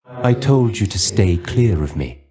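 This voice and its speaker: A man's voice: on the low side, very animated, a little slow. A man voicing a weary, brooding dead Greek warrior in a video game, resigned and aloof, with formal, archaic phrasing. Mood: bitter, dismissive. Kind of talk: video game character dialogue.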